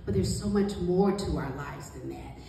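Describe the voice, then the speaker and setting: A middle-aged woman with a deep, dark-toned voice speaks to an audience as an author and coach. Her delivery is emphatic and encouraging. The room is very reverberant, and she is picked up from some way off. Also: moderate pace, vocal fry. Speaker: guest author and book coach. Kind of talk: motivational panel talk to an audience. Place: small indoor meeting room. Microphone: handheld mic, captured by distant camera. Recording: distant, very echoey room, faint noise.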